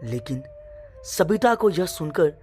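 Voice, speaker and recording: A woman tells a dramatic suspense story in a fairly low voice. Her pitch moves widely while she goes slowly, phrase by phrase, through the scripted narration. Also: big pitch swings, dark tone, faint music behind.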